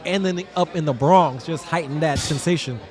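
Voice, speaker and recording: A man's voice: a little high, moderate pace, some chatter behind. A man, a guest DJ talking animatedly and streetwise in a casual interview. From Trinidad. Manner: animated streetwise talk, keeps saying "you know what I mean". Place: outdoors.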